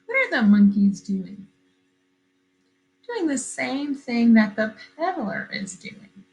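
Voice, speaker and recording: A woman teacher reading a story aloud to young children, expressive and questioning, repeating phrases for emphasis. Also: on the high side, slow pace.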